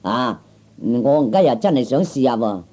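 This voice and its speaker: A man playing a small, skinny, boastful kung fu braggart in a Cantonese comic radio play, talking haltingly with many interjections. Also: medium pitch, animated, mellow, close to an everyday voice. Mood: cocky. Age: 30s.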